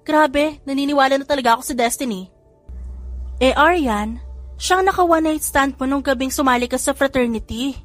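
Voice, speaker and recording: A woman voicing an excitable, gossipy young woman friend who talks in gushing exclamations. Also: high voice, normal speed, faint music behind, pitched above the performer's own voice, natural voice. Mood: confiding.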